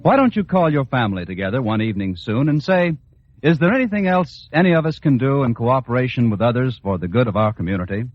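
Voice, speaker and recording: A muffled old broadcast carries a male announcer. His husky voice moves in lively swings, delivering scripted copy in 1940s radio style. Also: mid-range voice, fast talker. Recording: dull vintage 1940s radio recording.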